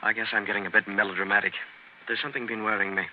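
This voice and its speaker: A man playing a troubled, preoccupied young gentleman, tense and melodramatic, in 1930s radio drama style. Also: on the low side, flat delivery, racing, lightly acted. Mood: worried. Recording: muffled, noisy 1930s radio recording.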